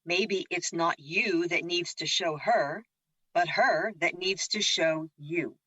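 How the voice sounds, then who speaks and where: An actress plays an imaginary companion in an audio drama, candid and encouraging. Her voice is husky and low, giving direct counsel. The recording is dry and a little dull. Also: slow, pushed and bright, dry recording, exaggerated voice. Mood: frank, encouraging. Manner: direct, advising. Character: Luna, female imaginary friend, frank, encouraging guide.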